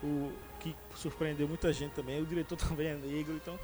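A man's voice: fairly high pitch, flat delivery, fairly quick, music in the background. A man hosting a casual podcast about films, talking with many fillers and restarts. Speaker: podcast host. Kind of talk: casual movie chat.

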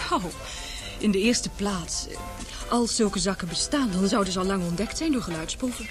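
A woman's voice: medium pitch, lively intonation, normal speed, over music, natural voice. An actress playing a spirited, prickly young woman scientist in an old-fashioned radio play, articulate and self-assured. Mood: curt, irritated.

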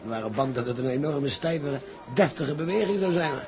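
Hearty, lively-voiced ship's engineer. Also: male voice, on the high side, moderate pace, crowd noise. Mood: effusive, hearty. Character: hearty, plain-spoken chief ship's engineer. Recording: music playing behind.

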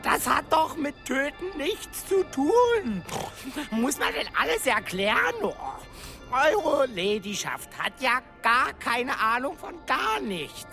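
A cheeky little imp of a servant in a radio play. He is high and creaky, swooping about with impudent, mock-courtly exasperation. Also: big pitch swings, slow, music in the background, full character voice. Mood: impatient, exasperated. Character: cheeky, impish little male magical servant creature. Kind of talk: German radio drama acting.

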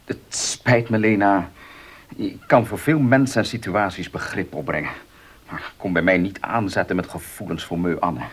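Embittered radio-drama farmer, low voice, husky edge, gruff, earnest, animated intonation. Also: male voice, normal speed. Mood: firm, resentful. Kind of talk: Dutch radio drama acting.

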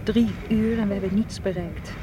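A woman's voice: mid-range voice, steady tone, moderate pace, background noise, lightly acted. A woman acting in a Dutch radio play as a headstrong, anxious lover searching for her man, questioning and insistent. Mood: puzzled, questioning.

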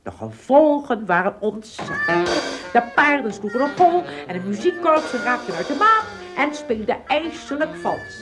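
A woman narrating a scripted adventure story for a radio play, in expressive storyteller style. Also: fairly high pitch, normal speed, hardly any pauses, over music.

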